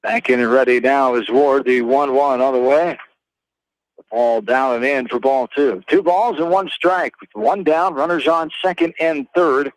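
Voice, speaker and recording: An American man calling a baseball game live on the radio as play-by-play announcer, in terse, clipped phrases with pauses. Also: mid-range voice, no room sound, rough recording.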